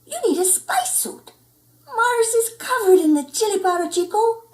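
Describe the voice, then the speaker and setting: Lady as a tiny storybook chihuahua dude, really high, cheeky slang, bit of spanish, echoey room. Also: animated, normal speed, a little mellow. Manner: brash, slangy, Spanish-peppered. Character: tiny cheeky male chihuahua, smallest of his gang. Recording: roomy indoor echo.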